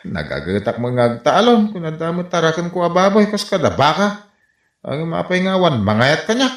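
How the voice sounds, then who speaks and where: An actor playing a lovesick, exasperated son with a Filipino accent in a comedy radio drama, whiny and theatrical. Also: male voice, on the high side, big pitch swings, fairly quick. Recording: roomy echo, faint music.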